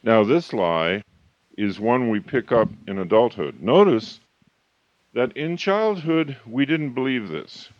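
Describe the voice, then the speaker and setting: A man in his fifties or older giving a motivational talk as a coach and author, emphatic and slowing down hard on key points. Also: medium pitch, expressive, dry recording. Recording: slightly muffled, dry recording.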